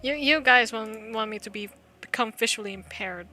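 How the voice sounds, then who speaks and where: A woman's voice: on the high side, normal speed, pushed and bright, slight background noise. A woman streaming a game playthrough, reading story text aloud and chatting casually. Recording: music under the voice. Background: game music.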